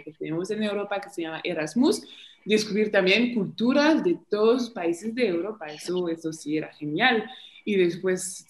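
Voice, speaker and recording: Echoey French-accented woman on a video call. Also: lowish voice. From France. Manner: chatty, hesitant with many restarts, laughs often. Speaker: guest. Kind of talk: casual video-call interview. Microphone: laptop mic on a video call.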